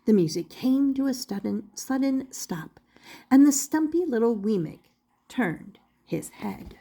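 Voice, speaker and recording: An older woman narrates a children's story. Her voice sits fairly high and moves up and down with great liveliness. She reads deliberately and very slowly, lingering on key words. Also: expressive, creaky, warm tone, breathy edge. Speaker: storyteller reading aloud to children. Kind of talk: expressive children's picture-book narration.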